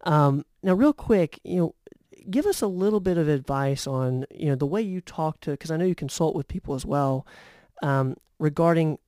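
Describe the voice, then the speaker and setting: A man hosting a casual interview show, asking friendly, halting questions with many fillers. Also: on the high side, lively intonation, warm tone, no room sound, clean studio sound. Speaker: podcast host.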